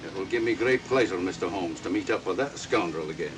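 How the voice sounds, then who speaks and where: An actor playing a gruff, self-assured police inspector in 1940s film style. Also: male voice, animated, quick pace. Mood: smug, stern. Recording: noisy old 1940s film soundtrack. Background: rumbling train noise.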